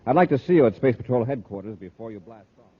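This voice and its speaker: An actor playing a steady, authoritative space patrol commander in a 1950s radio drama, speaking with brisk authority. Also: male voice, medium pitch, breathy edge. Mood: brisk, decisive. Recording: dull vintage radio recording.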